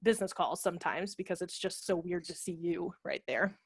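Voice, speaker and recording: A woman in her thirties giving informal practical instruction on a video call, explaining with quick asides. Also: fairly low pitch, slightly rough. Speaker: theatre director and workshop presenter. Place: home room with brick wall, video call. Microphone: headset microphone.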